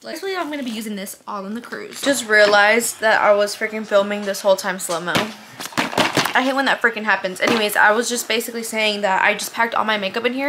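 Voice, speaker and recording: A young woman with a fairly high voice talks casually to her vlog camera. She is animated and slangy and swears freely. There is clear background noise on the handheld recording. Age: 20s. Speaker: vlogger. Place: car, shops, parking lot, cluttered bedroom. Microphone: handheld or propped vlog camera. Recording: noisy handheld camera audio.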